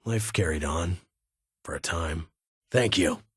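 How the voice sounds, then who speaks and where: Gruff ghoul army officer for a game, deep n super raspy, stern formal military talk, guarded. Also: male voice, slow, dry recording, heavily acted. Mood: measured, formal. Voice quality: raspy, gravelly. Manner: clipped, formal military delivery with dry authority. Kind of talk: video game character dialogue.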